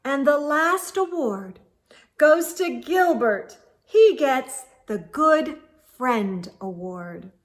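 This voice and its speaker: Woman playing a gentle, high-voiced schoolteacher. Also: animated, drawn-out words, heavily acted. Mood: proud, announcing. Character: kindly female first-grade teacher, warm and encouraging.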